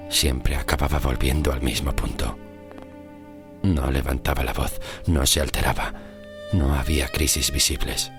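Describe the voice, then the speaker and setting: A man with a Spain Spanish accent narrating a scripted horror story in a slow, grave, measured way. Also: extremely low pitch. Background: music.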